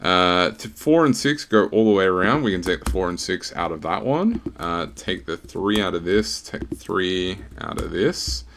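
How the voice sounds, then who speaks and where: A man explaining the last steps of a sudoku puzzle casually, with many uhs and a relaxed, joking tone. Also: deep voice, moderate pace, gravelly, hardly any pauses, slight background noise. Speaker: sudoku puzzle setter and walkthrough host.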